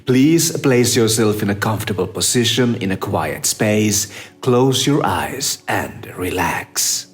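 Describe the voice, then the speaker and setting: A man narrating a scripted guided meditation in a measured, instructive manner with long pauses. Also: fairly low pitch, expressive.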